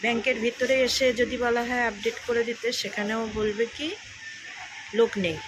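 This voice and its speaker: Female daily vlogger, slightly high pitch, informal on-the-go chatter, noisy handheld sound. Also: fairly even intonation, normal speed. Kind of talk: casual vlog chat. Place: out and about in streets and shops. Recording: noisy handheld vlog audio.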